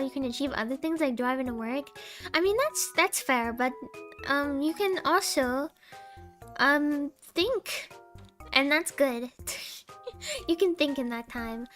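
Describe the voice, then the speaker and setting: High-pitched giggly streamer woman. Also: measured pace, soft background music. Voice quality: small sweet voice. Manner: giggly, rambling, deadpan jokes. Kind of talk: casual live-stream chat.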